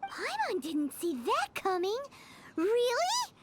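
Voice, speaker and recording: A woman voicing a tiny, cheeky, childlike female fairy companion with a squeaky voice in a video game. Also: huge pitch swings, slow pace, background noise, full character voice. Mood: curious, surprised. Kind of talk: English video game voice acting. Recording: loud game music behind.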